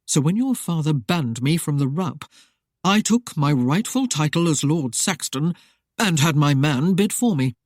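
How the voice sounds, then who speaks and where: A woman's voice: low-pitched, expressive, a little slow, darkish tone, dry recording, studio quality. A woman voicing a self-assured nobleman who speaks in a formal, leisurely drawl. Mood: composed.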